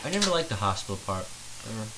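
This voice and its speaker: A man's voice: fairly low pitch, rapid-fire. A man co-commentating a video game, wry and teasing, swearing casually. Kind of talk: casual let's-play commentary. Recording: steady sizzling hiss.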